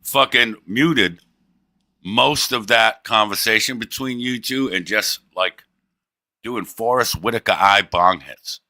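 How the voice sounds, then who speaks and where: A man's voice: fairly low pitch, takes it slowly, bright, forceful tone. A man hosting a livestream, teasing and profane in crude casual banter, with a drawl. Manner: brash, profane, teasing, laughs a lot. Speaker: livestream host.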